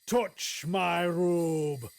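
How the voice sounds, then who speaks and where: A man voicing a huge, jovial, generous Christmas spirit with a booming, laughing, exclamatory delivery in a storybook performance. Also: steady tone, very slow, gravelly, a little hiss or hum, full character voice. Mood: booming, jolly. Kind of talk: theatrical audiobook character acting.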